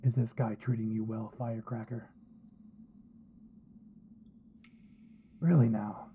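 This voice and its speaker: A man's voice: on the low side, little pitch movement, a little fast, phone-quality sound, slight background noise, natural voice. A man voicing a furious, foul-mouthed young male hero in an anime fan audio drama, aggressive and ruthlessly protective. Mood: menacing, disgusted. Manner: aggressive, profane, defiant.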